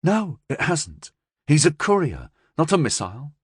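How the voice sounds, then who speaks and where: A man's voice: a little high, big pitch swings, measured pace, breathy edge, no echo, clean studio sound, voice pushed up, heavily acted. A man voicing a cool, confident gangster's woman in an audiobook, speaking in a firm, assured, coaxing way. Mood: persuasive, assured.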